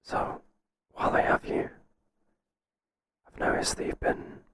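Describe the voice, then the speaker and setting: Whispering, hesitant male boss, acted by a man. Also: close to an everyday voice. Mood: tentative, admiring. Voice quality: soft, breathy, mostly whispered. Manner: halting, tentative, confiding. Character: hesitant, flattering male boss confiding in an employee. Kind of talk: whispered ASMR roleplay.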